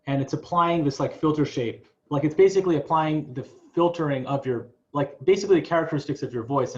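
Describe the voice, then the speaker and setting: A man teaching an informal online class, demonstrating software step by step and thinking aloud in a halting, tentative way. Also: mid-range voice, highs cut off. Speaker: music professor teaching a class. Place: online video class, voice through a microphone. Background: electronic music and synth tones.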